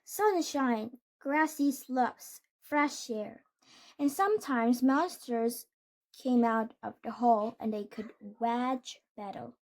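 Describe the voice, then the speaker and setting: Slow-reading, high-voiced woman storyteller. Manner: deliberate, with small stumbles and corrections. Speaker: read-aloud host. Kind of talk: children's storybook read-aloud.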